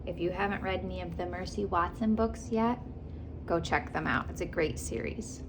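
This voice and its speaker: A woman's voice: lowish voice, moderate pace, background noise. A woman reading a storybook aloud as host, expressively, with asides to the listener. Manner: expressive, acts out characters. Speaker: host of a children's read-aloud.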